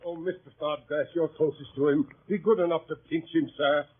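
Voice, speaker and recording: An actor playing a hearty, blustering older country squire in 1930s radio style, with a husky, exclamatory delivery. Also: male voice, fairly even intonation, brisk. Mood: blustering, fond exasperation. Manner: booming, jovial, exclamatory. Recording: muffled old radio drama recording.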